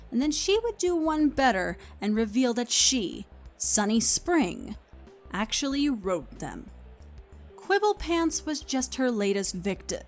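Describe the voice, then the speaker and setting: A woman reading scripted story narration as the narrator of an audio play. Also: a little high. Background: music.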